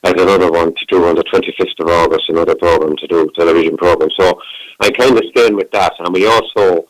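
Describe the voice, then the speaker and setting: Brief: low-pitched middle-aged man on the phone, dry sound, rambling guest chat. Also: steady tone, quick pace, dry recording, low-quality recording. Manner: rambling, warm self-talk with many restarts. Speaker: singer, interview guest. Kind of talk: casual phone interview.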